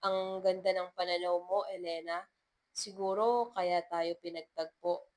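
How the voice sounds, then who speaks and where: A woman storyteller voicing a sincere young male teacher in love, gentle and hesitant. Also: fairly low pitch, steady tone, a little slow, natural voice. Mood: reflective.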